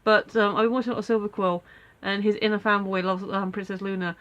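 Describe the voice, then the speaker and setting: A woman's voice: mid-range voice, little pitch movement, quick pace, a bit bright, dull audio. A woman with a stuffy nose from a cold, rambling casually with many fillers in a daily video diary from home. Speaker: vlogger.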